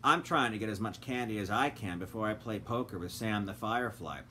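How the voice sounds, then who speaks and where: A man's voice: on the low side, a little fast, bright, forceful tone, a little hiss or hum. A man doing Bum B, a cocky bumblebee. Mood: brash. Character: cocky bumblebee, streetwise gambler.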